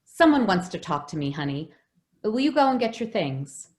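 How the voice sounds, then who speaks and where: A woman playing a gentle, anxious housekeeper who protects a child, hesitant and soothing, in old-time radio drama style. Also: mid-range voice, very animated, normal speed, close to an everyday voice. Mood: soothing, evasive.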